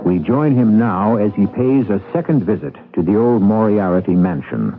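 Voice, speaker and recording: Low-voiced male radio mystery narrator. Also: expressive, moderate pace. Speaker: host narrator. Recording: narrow-band radio with music underneath. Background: music.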